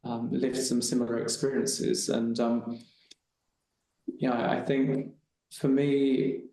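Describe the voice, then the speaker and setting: A man's voice: mid-range voice, level tone, slow pace, warm tone. A man on a webinar panel telling a personal story in a casual, rambling way with frequent restarts. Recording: very echoey room.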